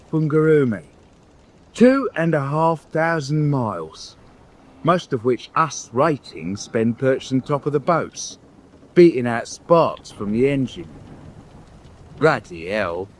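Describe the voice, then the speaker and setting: An actor playing a wry British naval rating, telling a journey in matter-of-fact first-person drama narration. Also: male voice, mid-range voice, a little hiss or hum.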